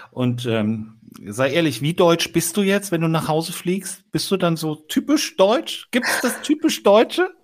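A man's voice: on the high side, big pitch swings, unhurried. A man interviewing in a casual, chatty way, rephrasing his questions as he goes.

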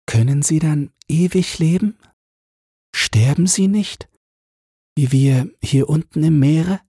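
Man voicing a breathy, wistful young mermaid girl. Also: fairly high pitch, no room sound, clean studio sound, pitched above the performer's own voice, exaggerated voice. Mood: curious. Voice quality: soft, very breathy. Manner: gentle, plaintive, slow. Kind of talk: fairy-tale audiobook character voice.

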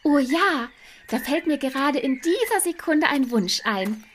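A woman's voice: high voice, lively intonation, a little slow, slight background noise. A woman playing a dreamy, romance-struck young princess in a fairy-tale radio play, gushing and politely insistent.

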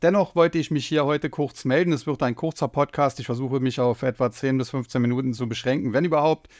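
A man from Germany hosting a solo finance podcast, explaining at length with many hesitations, his voice slightly hoarse from a cold. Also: medium pitch, fairly quick, a little mellow. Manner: explanatory, frequent filler hesitations. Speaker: podcast host.

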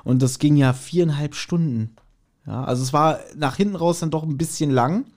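A man's voice: a little high, moderate pace, darkish tone. A man chatting casually as a podcast co-host, wry and teasing. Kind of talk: casual chat.

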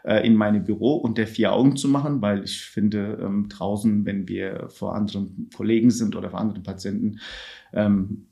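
Halting middle-aged man, lower voice. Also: fairly even intonation, gravelly, dark tone. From Germany. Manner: hesitant, many filler sounds, halting restarts. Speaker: ward manager on an addiction ward. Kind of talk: podcast interview answers.

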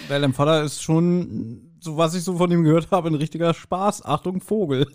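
A man co-hosting a podcast, giving casual, joking and mildly mocking commentary full of halting asides. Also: on the high side, darkish tone. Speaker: podcast co-host. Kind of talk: casual chat, humorous commentary on an audio drama.

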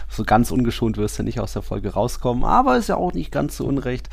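A man's voice: mid-range voice, sing-song, a little fast, gravelly, slightly rough. A man chatting casually as a sports podcast co-host, with a conversational, lightly teasing way of talking.

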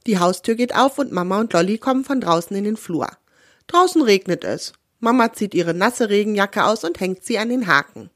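Hoarse woman reading a kids' story. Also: mid-range voice, moderate pace, no room sound, studio quality. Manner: friendly, expressive storytelling. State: hoarse from a cold. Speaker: children's podcast host and storyteller. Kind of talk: reading a children's story aloud.

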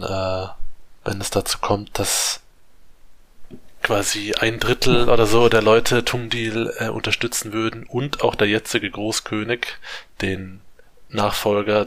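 Man, low voice, monotone, rambling book recap, lots of fillers. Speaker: podcast co-host summarizing a book. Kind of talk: casual podcast chat.

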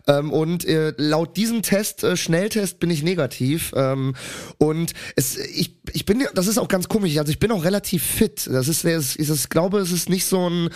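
A man's voice: fairly high pitch, normal speed, darkish tone. A young man with a cold and a stuffy voice, chatting casually as co-host and rambling through stories with lots of fillers. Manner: rambling storyteller, many fillers. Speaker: podcast co-host. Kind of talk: casual chat.

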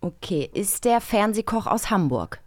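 A woman co-hosting a podcast in casual talk, with a playful, effusive, animated manner. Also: medium pitch, a little slow, no echo, clean studio sound. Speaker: podcast co-host.

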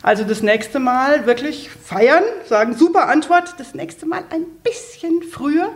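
A woman's voice: high-pitched, a little slow. A woman from Munich with a Bavarian accent, a coach speaking as an interview guest in a blunt, earthy way and laughing easily. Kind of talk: casual interview talk.

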